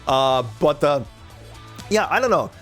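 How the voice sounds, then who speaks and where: A Croatian man whose English mixes American and British with a slight German tinge, hosting a let's play and chatting casually with viewers, halting with many fillers and repeated words. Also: on the high side, animated, a bit bright, faint music behind. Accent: mix of American and British English, slight German tinge.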